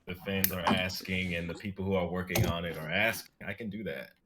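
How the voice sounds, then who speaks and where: A man's voice: sits low, steady tone, a little fast, over a noisy crowd. A young adult man who writes and reads sketches, chatting casually with his group. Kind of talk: casual chat.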